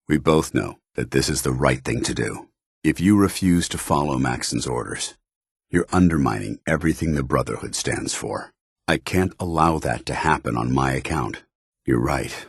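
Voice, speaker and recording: An actor playing a stoic, honour-bound android soldier in a video game, tormented yet earnest, with formal military diction. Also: male voice, extremely low pitch, husky, no echo, clean studio sound, full character voice. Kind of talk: video game companion dialogue acting.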